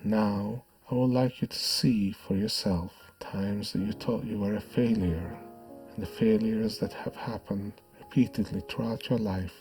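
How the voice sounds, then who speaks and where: A man with a low voice leads a guided hypnosis session as the hypnotist. His delivery is soothing, hushed and measured, with lingering pauses between phrases. Faint music plays behind him. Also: steady tone, low-quality recording.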